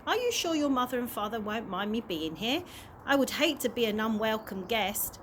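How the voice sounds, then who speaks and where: Genteel elderly vagrant for a children's audiobook, read by a woman, formal, courteous, entitled, very animated, slightly noisy recording. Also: on the high side, normal speed. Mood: courteous, gracious. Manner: polite, formal, old-fashioned speech. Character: genteel, courteous, grandly demanding old male tramp. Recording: noisy amateur home recording.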